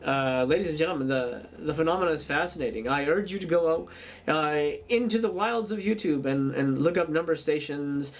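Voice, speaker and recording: A man's voice: a little high, a little fast. A man hosting a video in a casual monologue, speaking hesitantly with stammered repeats. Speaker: video host.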